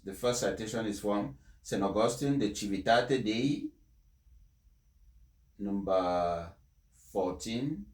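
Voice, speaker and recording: A man speaking in an emphatic, deliberate way, like someone delivering a speech and commenting on it. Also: on the low side. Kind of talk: formal read-aloud speech, then impassioned personal commentary. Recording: echoey, reverberant room sound.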